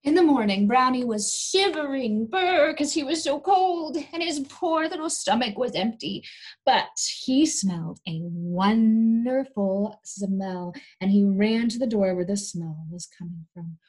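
A young woman reading a storybook aloud to small children in an animated, coaxing way, pausing to ask them questions. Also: fairly high pitch, slightly rough, low-quality recording. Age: young adult. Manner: animated, coaxing, exaggerated delivery for young listeners. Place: small indoor room.

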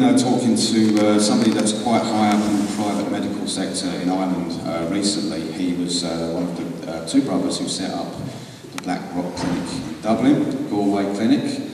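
Halting British man in an echoey hall. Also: on the low side, darkish tone, recorded from a distance, a little hiss or hum. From England. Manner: halting, many ums and uhs. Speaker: conference speaker. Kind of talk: public talk. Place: large hall on a stage. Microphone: handheld mic.

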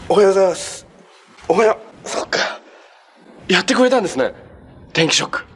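A man's voice: sits high, animated, fast talker, a bit bright, soft background music. An actor playing a cheerful, earnest young male hero in a TV drama, talking politely and upbeat. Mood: grateful, eager. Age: young adult. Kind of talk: live-action TV drama acting. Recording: TV soundtrack with faint noise.

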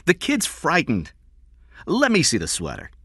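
A man's voice: a little high, wildly swooping pitch, a little slow, pushed and bright, breathy edge, no room sound, studio quality, pitched above the performer's own voice, heavily acted. A man voicing a resentful, yearning kidnapper in an audiobook thriller, insistent and embittered. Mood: bitter, insistent. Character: resentful, yearning male kidnapper, poor and determined.

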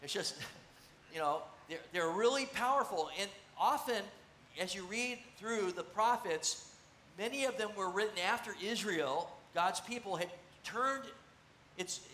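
A middle-aged man preaching a casual, conversational sermon from a church stage, animated and gesturing as he teaches. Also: high-pitched, a little slow. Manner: animated, conversational, gesturing while seated on a stool. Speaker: pastor. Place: church stage, large room. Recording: echoey church room, faint noise.

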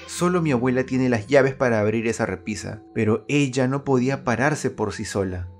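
A man's voice: medium pitch, moderate pace, faint music behind. A man in his twenties hosting and narrating scripted spooky anecdotes in a theatrical way. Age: 20s. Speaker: host, storyteller.

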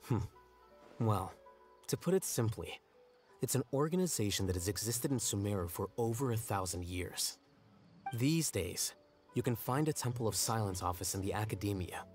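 An actor playing an aloof young male scholar in a game, speaking in a measured, matter-of-fact, lecturing way. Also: fairly low pitch, expressive, darkish tone, lightly acted. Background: faint game music.

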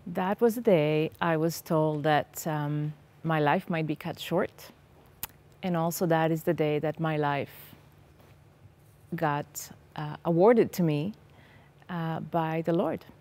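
A woman's voice: deep voice, takes it slowly, a little mellow. Earnest woman, hesitant speech. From Israel. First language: Hebrew. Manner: earnest, hesitant, many ums. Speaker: guest. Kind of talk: personal testimony in a TV interview. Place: TV studio set. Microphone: lapel.

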